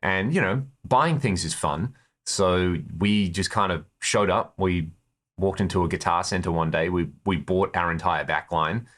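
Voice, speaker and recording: Man, Australian accent, low-pitched voice, conversational storytelling, interview guest. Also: unhurried, fairly bright tone. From Perth, Australia. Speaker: interview guest, guitarist in a touring band.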